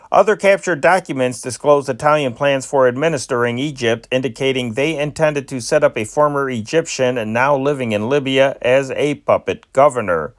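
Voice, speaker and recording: A man with a mid-pitched voice reads scripted news reports as an amateur presenter. His delivery is formal and measured, with datelines set out deliberately. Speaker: amateur news reader, channel host. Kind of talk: scripted news reading of old wartime newspaper reports.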